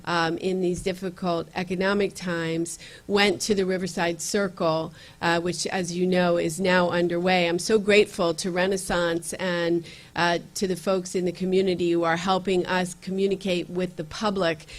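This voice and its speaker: A woman's voice: on the low side, flat delivery, normal speed. Earnest woman giving a campaign speech. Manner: earnest, with frequent fillers and restarts. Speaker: county legislator. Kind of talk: campaign speech at community meeting. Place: community meeting room.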